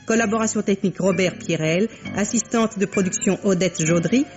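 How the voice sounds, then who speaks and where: Male announcer, high voice, scripted credits reading, dull old radio sound, clear music behind. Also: normal speed.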